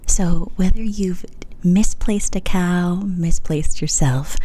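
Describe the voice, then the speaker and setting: A woman's voice: on the low side, slow, warm tone. A woman whispering a playful, pun-filled roleplay as a customer service agent.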